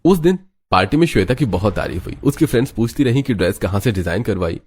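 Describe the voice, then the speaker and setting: A man reading scripted narration for an animated family drama story as the storyteller. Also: lowish voice, fast talker, breathy edge, soft background music.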